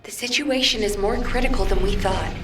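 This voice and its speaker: A woman voicing a grave, analytical headquarters commander giving formal, measured mission briefings in a video game. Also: medium pitch, natural voice. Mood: grave, concerned. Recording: roomy, distant, loud music behind.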